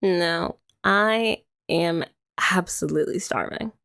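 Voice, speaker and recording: A young woman doing a soft, teasing, affectionate ASMR girlfriend roleplay, often laughing, close to the mic. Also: lowish voice, slow, creaky, clean tone.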